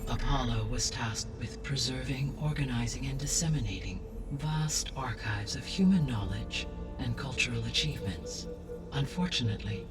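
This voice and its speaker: An actor playing a calm, grave artificial intelligence in a video game, speaking formally with precise, deliberate diction. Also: male voice, mid-range voice, recorded from a distance, natural voice. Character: calm male artificial intelligence, grave, all-knowing custodian. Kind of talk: cinematic video game acting, exposition.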